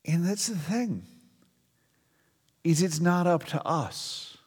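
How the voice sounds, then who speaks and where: A man's voice: on the high side, very animated, takes it slowly, darkish tone, slightly rough, long reverb tail. A middle-aged man preaching a sermon to a congregation in a conversational style, leaning hard on key words. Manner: conversational preaching with emphatic stresses. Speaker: pastor.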